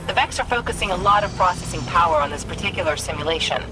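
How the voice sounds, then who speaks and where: A woman voicing a brisk, bossy AI companion in a video game, with a dry, sardonic and casual manner. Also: on the low side, expressive, over music, lightly acted, poor audio. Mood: brisk, urgent. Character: wry, flippant female AI companion, brisk and bossy. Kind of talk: video game cutscene acting.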